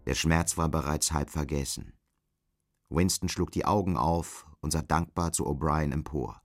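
A man's voice: rumbling low, fairly even intonation, normal speed. A man reading scripted literary narration as the narrator of an audio drama.

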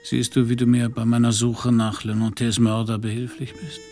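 An actor playing a brooding, hard-drinking detective with a gravelly voice and a dry, sardonic manner in a noir radio drama. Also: male voice, mid-range voice, completely flat delivery, moderate pace, a little mellow, soft background music, lightly acted.

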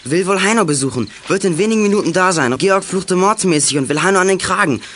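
A young man playing a resourceful teenage boy detective in a children's audio drama, speaking in brisk, clipped phrases. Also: high voice, expressive, fairly bright tone. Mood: businesslike.

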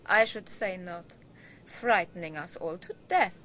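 An actress playing a gossipy, spiteful archaeologist's wife in a radio drama, insinuating and emphatic. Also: female voice, lowish voice, unhurried, sharp tone, slight background noise, poor audio. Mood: spiteful gossip.

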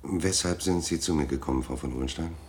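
An actor playing an unflappable private detective in a German radio drama, terse and matter-of-fact. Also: male voice, extremely low pitch, brisk, a little mellow. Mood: cool, businesslike.

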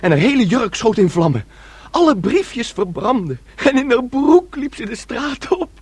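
A man acting in a radio drama as a naive, childlike son who dreams aloud in a rambling, excitable way. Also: very high voice, moderate pace, husky, no echo, full character voice. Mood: dreamy storytelling.

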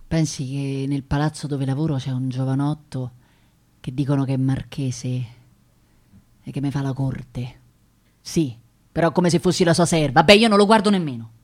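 A woman's voice: a bass voice, fairly even intonation, normal speed, darkish tone. An actress playing a proud, touchy young working-class woman with a Roman accent, talking colloquially and emotionally in a radio play. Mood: wistful, confiding. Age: young adult. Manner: colloquial, repeating words, emotional. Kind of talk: theatrical radio drama monologue.

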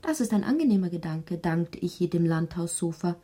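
A musing female narrator of an absurd literary radio play. She has a low, soft-edged, mellow voice and speaks in deliberate, measured phrases, recorded very dry and close. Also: animated, no room sound. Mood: musing, wry. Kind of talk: literary audio drama narration.

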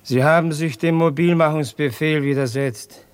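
An actor playing a bitter, downtrodden villager in a 1950s radio drama, terse and heavy in delivery. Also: male voice, a little high, steady tone, moderate pace, clean tone, close to an everyday voice. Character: bitter, downtrodden villager of war-torn Russia.

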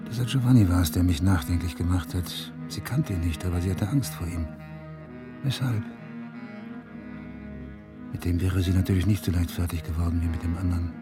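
Man acting a weary detective, low-pitched, terse, brooding, radio play, prominent music underneath. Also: brisk, long pauses, close to an everyday voice. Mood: brooding, reflective. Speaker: lead actor and narrator in a crime radio play. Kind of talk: German radio drama acting, inner monologue.

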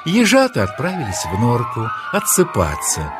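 A man narrating forest tales for children as an expressive storyteller in an audio play. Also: mid-range voice, normal speed, music in the background. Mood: unhurried.

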